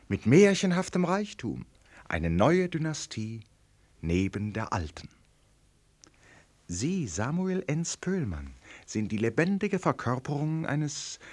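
An actor playing an eloquent, flattering gentleman in a radio drama, earnest and rhetorical, moving between hushed and emphatic phrases. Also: a little high, exaggerated voice. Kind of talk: German radio drama acting.

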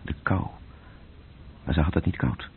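A man narrating a literary radio drama in terse, measured phrases, with a gravelly, breathy voice. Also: deep voice, animated. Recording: muffled, tinny old radio-play recording.

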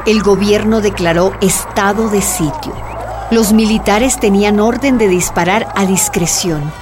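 A woman's voice: medium pitch, measured pace, music in the background, lightly acted. A woman narrating a scripted radio drama in a grave, dramatic storytelling manner.

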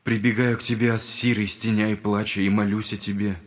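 Actor as gentle, low-voiced devout young man. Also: flat delivery, a little fast, natural voice. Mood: prayerful. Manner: soft-spoken, earnest, humble. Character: gentle, devout, earnest twenty-year-old son. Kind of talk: Russian audio drama acting.

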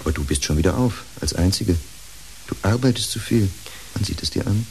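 An actor in a German radio play playing a weary, earnest psychiatrist with a husky voice. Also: male voice, sits low, animated, fairly quick, a little mellow, slight background noise, low-quality recording. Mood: concerned, reproving. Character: weary, earnest male psychiatrist, sad and dutiful. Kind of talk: German radio drama acting.

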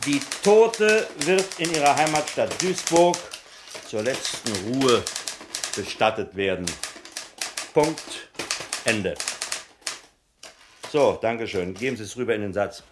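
Slow-speaking, precise newspaper editor. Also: male voice, medium pitch, lively intonation. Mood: dictating, businesslike. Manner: measured, precise, polite. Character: composed, self-important small-town newspaper editor. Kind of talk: 1960s German radio play acting. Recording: noisy 1960s radio play recording.

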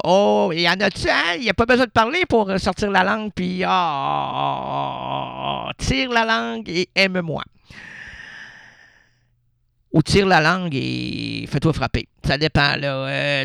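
A Québécois man rambling playfully on the radio, drifting from one thought to the next and talking back to himself. His voice is fairly high for a man, with a gravelly edge. The studio sound is close and dry. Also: expressive, unhurried, pushed and bright, no room sound. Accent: Québécois French. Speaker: radio host. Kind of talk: rambling absurd monologue.

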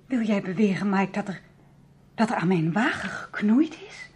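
A woman's voice: medium pitch, animated, moderate pace, a little mellow, husky. A woman playing a proud, wary young upper-class lady in a radio drama, speaking formally and easily turning indignant. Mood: shaken, disbelieving. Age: young adult.

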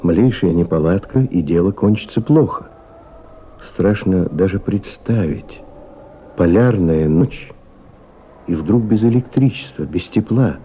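An actor performing as a grave, measured storyteller, giving scripted dramatic narration for a radio play. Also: male voice, low voice, animated, warm tone. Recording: noisy old 1970s radio recording.

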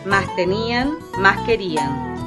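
A woman's voice: medium pitch, animated, measured pace, over loud music, lightly acted. A woman narrating history in a scripted radio drama.

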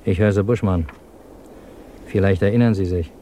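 An actor playing a sardonic, insistent German communist agent and former officer in a 1950s radio drama, speaking in a clipped, probing way. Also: male voice, deep voice, normal speed, warm tone, natural voice. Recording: 1950s radio recording, faint noise.

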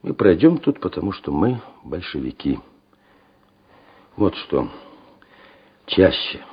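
An actor playing a resolute, commanding army major in a Soviet radio drama, speaking in an emphatic, oratorical way with a husky voice. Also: male voice, low-pitched, exaggerated voice. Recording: dull, lo-fi old radio recording.

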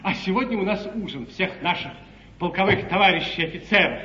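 An actor playing an earnest, naive young English guards officer in a 1950s stage comedy, speaking in a declamatory storytelling manner. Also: male voice, high-pitched, lively intonation, a little fast, bright, forceful tone, a little hiss or hum. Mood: amazed, eager. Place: theatre stage, live performance. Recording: distant, echoey, muffled 1950s theatre broadcast.

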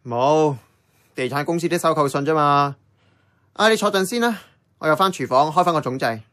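A man's voice: fairly high pitch, normal speed, a bit bright, natural voice. An actor with a Hong Kong Cantonese accent playing a casual, blunt young man in radio drama dialogue. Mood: offhand.

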